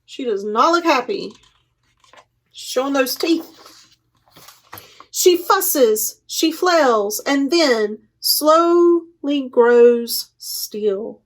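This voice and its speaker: A woman hosts a children's storytime in a high-pitched voice. She reads at a slow, deliberate pace, leaning playfully on key words for dramatic effect. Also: animated, low-quality recording. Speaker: children's librarian, storytime host. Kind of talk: expressive picture-book read-aloud for children.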